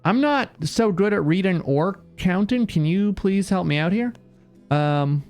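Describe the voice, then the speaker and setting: A man's voice: sits high, animated, a little slow, a little mellow, faint music behind. A man streaming a video game, joking, rambling and talking back to the characters. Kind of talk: casual game commentary.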